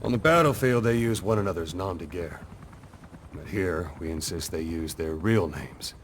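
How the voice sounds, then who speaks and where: An actor playing a composed military officer in a video game cutscene, measured and wry as he explains. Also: deep voice, a little hiss or hum, close to an everyday voice.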